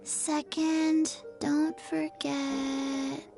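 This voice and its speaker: A woman voicing a small, forgetful zombie girl for a video game, speaking in a halting, deadpan, earnest way. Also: high-pitched, creaky, soft background music, heavily acted. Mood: slow, earnest.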